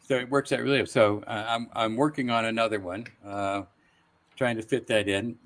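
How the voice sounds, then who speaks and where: A middle-aged man speaking as a guest voice actor, telling stories casually in a relaxed interview setting. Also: on the low side, moderate pace.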